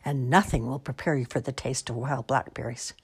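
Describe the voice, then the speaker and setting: A woman's voice: very deep voice, steady tone, a little fast, slightly rough, exaggerated voice. A woman voicing a small male town mouse in a storybook, eager and chatty. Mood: dreamy.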